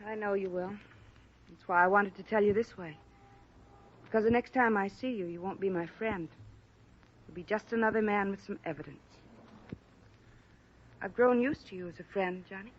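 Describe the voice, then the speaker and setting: A woman's voice: medium pitch, normal speed, long pauses, slight background noise, lightly acted. A woman acting a proud, cornered young former chorus girl in a 1950s radio drama, earnest and plaintive with an American accent. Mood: wistful. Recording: dull restored old radio recording.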